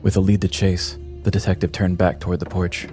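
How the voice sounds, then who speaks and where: A man narrating a comedy audio story in a measured, steady way. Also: deep voice. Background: music.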